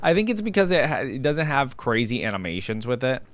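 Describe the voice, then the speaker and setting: A man's voice: medium pitch, very animated, fairly quick, no echo, faint music behind. A man hosting a reaction video, giving casual, conversational game commentary and thinking aloud as he goes.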